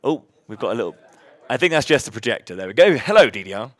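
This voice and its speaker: Loud, chatty man hosting a charity marathon. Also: mid-range voice, big pitch swings, fast talker. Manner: upbeat, chatty, many fillers and restarts. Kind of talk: live event hosting.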